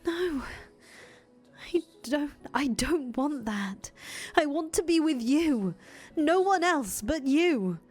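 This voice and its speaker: A woman doing a high, tearful young woman. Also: lively intonation, takes it slowly, faint music behind. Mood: desperate. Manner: high, crying, pleading shouts. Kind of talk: audio drama acting.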